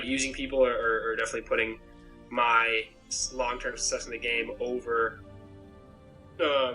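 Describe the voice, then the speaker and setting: A man's voice: mid-range voice, sharp tone, rough recording. A young man from Maine talking about himself in a rambling, reflective way with many restarts, as a contestant in a pregame interview over a laptop webcam call from a small bedroom. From Maine, USA. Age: young adult. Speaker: game contestant. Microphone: laptop webcam video call.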